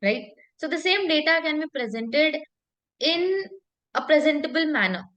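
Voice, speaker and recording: A woman's voice: sits high, animated, normal speed, fairly bright tone, highs cut off. A woman coaching in conversation, speaking emphatically, repeating phrases and often checking for agreement.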